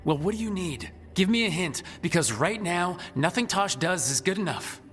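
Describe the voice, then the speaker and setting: Earnest game hero, protective, direct, slightly high voice, echoey hall sound. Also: male voice, animated, normal speed, a bit bright. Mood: concerned, challenging. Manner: conversational, direct. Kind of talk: video game dialogue acting. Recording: reverberant hall sound with background music.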